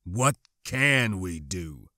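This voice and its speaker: A man voicing a serious, wary stormtrooper who speaks slowly and firmly in an audiobook. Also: sits low, very animated, sharp tone, no echo, clean studio sound, pitched below the performer's own voice, heavily acted. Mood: stern warning. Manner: slow, firm, serious. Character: grave, wary male stormtrooper, guarded and cautioning.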